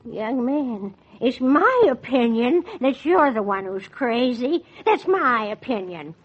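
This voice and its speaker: High-pitched, cranky old backwoods woman. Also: lively intonation, slow pace, breathy edge, full character voice. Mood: incredulous, impatient. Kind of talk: 1940s radio acting. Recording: dull vintage 1940s radio recording.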